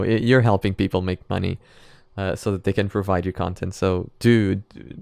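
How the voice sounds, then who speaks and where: A man's voice: deep voice, vocal fry, a little mellow, no room sound, clean studio sound. A man giving casual, off-the-cuff reaction commentary, rambling and hesitant as he thinks aloud. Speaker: host of a reaction video.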